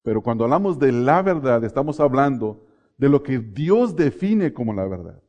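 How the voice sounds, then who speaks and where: A middle-aged man from Mexico preaching a sermon, asking the congregation questions, answering them himself and slowing down to stress key phrases. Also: medium pitch, big pitch swings, darkish tone, lo-fi sound.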